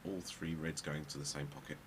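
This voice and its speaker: A man with a very deep voice and a Belgian accent, calling a pool match for a live stream. He talks steadily with a dry, wry humour. There is a steady hiss of noise and some venue ambience under the voice. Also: brisk. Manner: switches between English and French, wry. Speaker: pool commentator. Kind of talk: live sports commentary. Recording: noisy live stream commentary.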